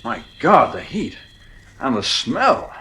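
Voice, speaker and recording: Radio play: a frank, opinionated doctor of the mind, husky tone, expressive intonation. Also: male voice, mid-range voice, heavily acted. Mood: grave, frank. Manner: measured, wry, opinionated. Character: male psychiatrist, frank, wry family friend. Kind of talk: radio drama acting.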